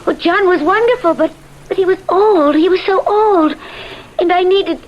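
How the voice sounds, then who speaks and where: An actress playing a nervous, pleading, evasive widow, hesitant and melodramatic, in old radio drama style. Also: female voice, very high-pitched, moderate pace, muffled recording. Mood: pleading, defensive.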